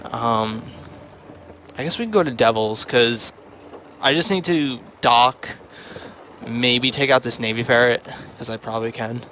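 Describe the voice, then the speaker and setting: A man's voice: mid-range voice, a little slow, bright, forceful tone, slight background noise. A man giving casual livestream commentary on a game, rambling and thinking aloud as he plays. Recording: music behind the voice. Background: game music.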